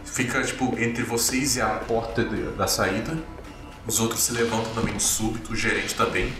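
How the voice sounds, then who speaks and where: A Brazilian man working as game master, improvising tabletop RPG narration in a halting, descriptive way with frequent tag questions. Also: fairly low pitch, flat delivery. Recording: very echoey room, distant, music behind. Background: music.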